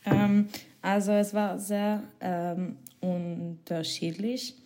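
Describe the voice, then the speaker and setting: A young woman from Switzerland answering interview questions in hesitant learner's German with many pauses and small laughs. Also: mid-range voice, little pitch movement, warm tone. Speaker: guest, former exchange student.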